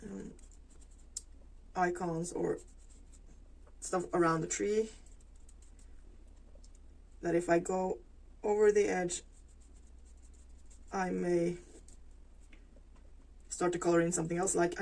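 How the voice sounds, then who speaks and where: A woman, talking through her crafting casually, thinking aloud with pauses and fillers. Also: lowish voice, steady tone, vocal fry, a little mellow, poor audio. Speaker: planner vlogger. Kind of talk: casual plan-with-me narration while crafting.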